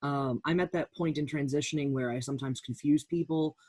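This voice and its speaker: Male panelist, husky voice, candid and halting personal testimony, dry recording. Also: a little high, steady tone, a little mellow, dry recording. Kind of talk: candid personal account in a roundtable.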